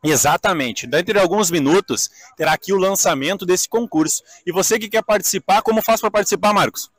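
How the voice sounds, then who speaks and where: A man's voice: high voice, a little fast, dry recording. A man, interviewing as a live TV reporter at an event. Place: outdoors on a wooden swing in a park.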